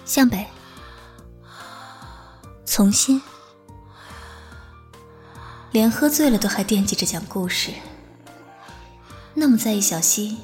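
Actress as composed indulgent woman, audio drama romance; gentle, coaxing, quietly teasing, mid-pitched. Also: animated, measured pace, pauses often, soft background music, close to an everyday voice. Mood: coaxing, fond. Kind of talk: modern audio drama acting.

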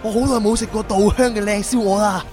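Man as servile henchman, high voice, fast, eager, radio drama, music behind. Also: steady tone, natural voice. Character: obedient, mind-controlled henchman, eager for food.

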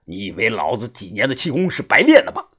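A man's voice: fairly low pitch, sing-song, moderate pace, vocal fry, a bit bright, husky, pitched below the performer's own voice, exaggerated voice. A man voicing a short-tempered older man guarding a field, who barks blunt, scornful lines. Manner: barking, blunt.

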